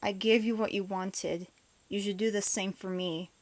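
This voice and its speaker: A woman's voice: mid-range voice, steady tone, moderate pace, natural voice. A woman acting a bitter, despondent outcast in a comic dub, guarded, sullen and dismissive. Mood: guarded, resentful.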